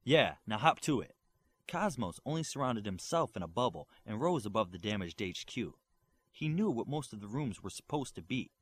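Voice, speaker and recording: A man reading a superhero novel aloud as its narrator, with an expressive delivery. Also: lowish voice, a little fast, breathy edge. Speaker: audiobook reader. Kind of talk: audiobook narration.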